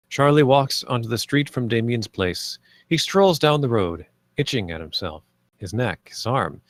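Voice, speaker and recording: A man's voice: medium pitch, no room sound. A man reading stage directions as scripted narration at a screenplay table read.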